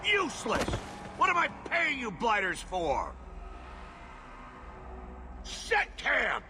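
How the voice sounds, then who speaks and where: An actor playing an impatient, crude and bombastic dwarf expedition leader in a video game, blustering and barking orders. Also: male voice, very high voice, wildly swooping pitch, slow pace, full character voice. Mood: angry. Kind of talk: video game cutscene acting. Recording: loud music behind dialogue. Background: loud music.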